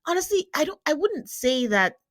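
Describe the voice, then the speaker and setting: A woman's voice: sits high, big pitch swings, normal speed, fairly bright tone, dry recording, studio quality. A woman whose first language is not English, co-hosting a podcast and chatting casually about a reality show, with frequent fillers and laughs. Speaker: podcast co-host.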